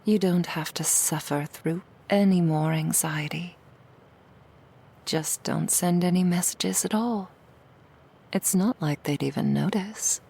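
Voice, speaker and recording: A woman playing a seductive female demon in an ASMR roleplay, coaxing the listener in a breathy whisper with soothing, insidious persuasion. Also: on the low side, slow pace, darkish tone, exaggerated voice. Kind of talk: ASMR roleplay, intimate monologue.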